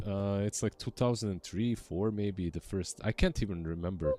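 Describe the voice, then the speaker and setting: A young man casting an esports match, chatting in a relaxed way and often trailing off mid-sentence. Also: on the low side, moderate pace. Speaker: esports caster. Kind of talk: casual esports commentary.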